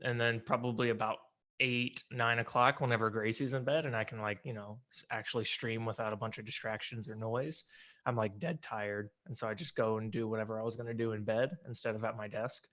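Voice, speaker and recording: A man's voice: medium pitch, fairly even intonation, brisk, pushed and bright. A man with a slight lisp hosting a podcast, chatting casually about games. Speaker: podcast host. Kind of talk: casual gaming podcast chat.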